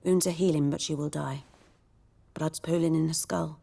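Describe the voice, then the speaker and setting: A woman playing a cynical, world-weary village herbalist in a fantasy game, measured and dry in her delivery. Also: low-pitched, fairly even intonation, vocal fry, warm tone. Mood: calm, resigned.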